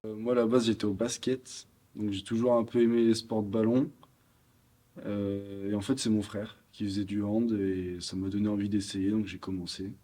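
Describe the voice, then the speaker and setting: A man's voice: lowish voice, flat delivery, normal speed, dark tone. A young man in his late teens from Picardy, a student athlete answering interview questions hesitantly. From Picardy, France. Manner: hesitant, many fillers. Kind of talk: documentary interview.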